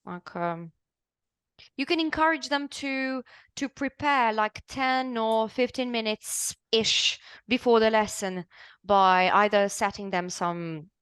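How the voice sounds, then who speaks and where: A woman chats about teaching, animated and quick to laugh. Her voice sits close and dry, with no room sound. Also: a little high, smooth, no room sound. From Serbia. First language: Serbian. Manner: chatty, laughs often, animated. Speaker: English teacher. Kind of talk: casual chat.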